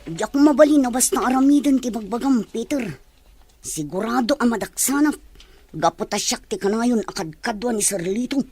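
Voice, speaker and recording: An actress playing a worried, reluctant accomplice in an Ilocano radio drama, with a husky voice. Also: female voice, fairly high pitch, expressive, normal speed, a little mellow, soft background music.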